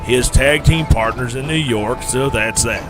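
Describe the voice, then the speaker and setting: A man's voice: mid-range voice, unhurried, close to an everyday voice. Seasoned, cautioning male crew boss, acted by a man, blunt and folksy, mid register, music underneath. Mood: blunt, advising. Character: gruff, cautioning veteran male wrestling ring-crew boss. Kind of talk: audio drama dialogue.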